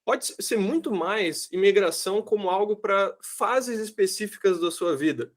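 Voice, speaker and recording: Animated higher-voiced host. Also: male voice, normal speed. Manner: animated, slangy, acts out quoted voices. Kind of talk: casual interview chat.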